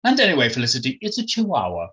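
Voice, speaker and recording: A woman's voice: medium pitch, wildly swooping pitch, normal speed, voice pushed up. An author voicing a smug, chatty woman who dotes on her dog, in a theatrical story reading. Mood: smug, accusing. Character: smug, dog-doting upper-class Englishwoman.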